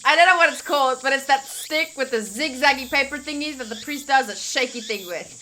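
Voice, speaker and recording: A woman streaming a visual novel, reading lines aloud in an expressive, playful, exclamatory way. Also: high-pitched, fairly quick, a little hiss or hum. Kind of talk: animated visual novel playthrough narration. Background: game music.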